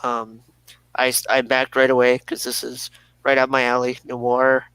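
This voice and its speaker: A man's voice: mid-range voice, completely flat delivery, normal speed, fairly bright tone, clear, resonant tone, dry recording, poor audio. A man co-hosting a talk show in casual, unscripted conversation.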